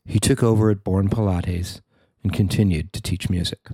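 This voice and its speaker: A man narrating a newspaper feature he wrote, in a measured, even reading. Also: low-pitched, no echo. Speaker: newspaper columnist reading his story. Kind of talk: scripted narration.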